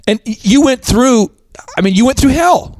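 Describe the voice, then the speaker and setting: A man's voice: expressive, moderate pace, a little mellow, no echo. A male host of a business talk show who talks emphatically in a preachy, motivational way, often restarting and repeating words. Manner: swoops up into high pitch for emphasis.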